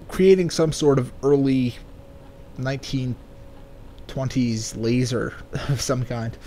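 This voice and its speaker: Male gaming commentator, dark relaxed tone, medium pitch, loose and wordy, slight background noise. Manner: rambling, speculative, sweary. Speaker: let's play host. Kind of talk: casual game commentary, reading game text aloud.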